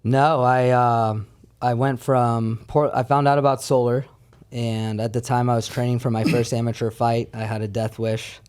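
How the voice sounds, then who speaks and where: Clear-voiced man in his thirties, candid. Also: mid-range voice, level tone, moderate pace, darkish tone. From born in Paris, France, moved to America at twelve. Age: 30s. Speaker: podcast guest. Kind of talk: casual storytelling chat.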